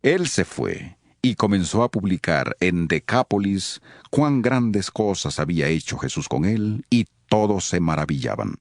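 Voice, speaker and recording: A man's voice: deep voice, very animated, measured pace, no echo, clean studio sound. A man narrating scripture from a script as part of a dramatized audiobook.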